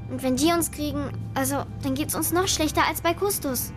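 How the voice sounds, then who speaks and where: A woman's voice: high voice, brisk, music in the background, natural voice. A woman playing a cautious, worried young girl in a children's radio play. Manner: hesitant, worried.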